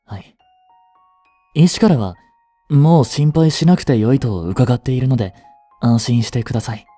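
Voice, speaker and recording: A man voicing a devoted, protective young butler in a romantic voice drama, frantic while searching a fire and soft and tender at a bedside. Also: medium pitch, moderate pace, no echo, soft background music. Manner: soft, gentle and intimate in the bedside scene.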